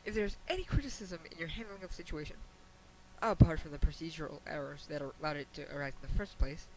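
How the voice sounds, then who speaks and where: A man reading as a high-voiced, regal pony princess. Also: natural voice, poor audio. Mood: formal, patient. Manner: formal, measured, wry understatement. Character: regal, patient pony princess, female, gently admonishing her student. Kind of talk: dramatic reading of a fan fiction letter. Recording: hissy amateur home recording.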